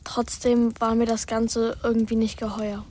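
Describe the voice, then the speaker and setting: A woman's voice: on the high side, monotone, moderate pace, mellow, a little hiss or hum, natural voice. A woman playing Bela, an anxious, thoughtful boy who tells his story in an earnest, hesitant way in a radio play. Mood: uneasy narrating. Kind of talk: radio play acting and first-person narration.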